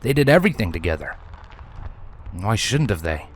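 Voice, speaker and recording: A man reading scripted dramatic story narration in a solemn, deliberate manner. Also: fairly low pitch, big pitch swings, background noise.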